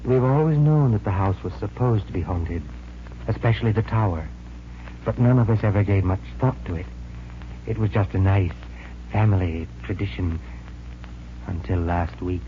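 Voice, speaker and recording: An actor in a 1940s radio mystery playing a courteous, formal and uneasy owner of a haunted mansion. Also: male voice, deep voice, close to an everyday voice. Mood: grave, troubled. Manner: formal, polite, measured. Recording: muffled, noisy 1940s radio recording.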